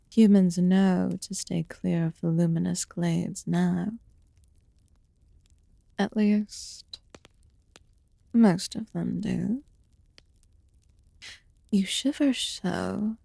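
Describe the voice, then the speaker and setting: A woman playing an ancient, aloof fae in a fantasy ASMR roleplay, murmuring softly and formally with some whispered phrases. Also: mid-range voice, creaky, dry recording, studio quality. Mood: grave, musing, then curious, detached. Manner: slow, deliberate, archaic formal wording. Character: ancient aloof female fae recluse, haughty yet quietly caring.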